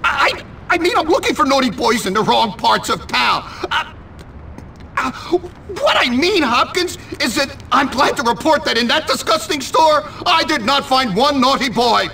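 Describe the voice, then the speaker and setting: A man's voice: very high voice, lively intonation, moderate pace, gravelly, pushed and bright, far from the mic, a little hiss or hum, heavily acted, rough recording. A man playing a shifty middle-aged school teacher in a video game, blustering, stammering and evasive. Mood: flustered, evasive. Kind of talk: video game cutscene acting.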